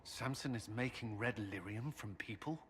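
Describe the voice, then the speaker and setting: An actor playing a grave, duty-bound military commander in a video game, earnest, measured and formal. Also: male voice, mid-range voice, lightly acted. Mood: disturbed, resolute. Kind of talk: dramatic video game cutscene acting. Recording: hall reverb with background noise.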